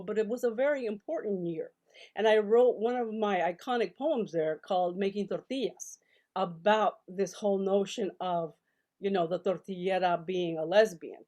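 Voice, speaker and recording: An older woman talks over a video call from her book-lined home study. She tells her stories in an animated, chatty way, full of fillers and self-corrections, at a middle pitch. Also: normal speed. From El Paso, Texas. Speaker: guest, novelist and scholar. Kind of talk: casual storytelling interview.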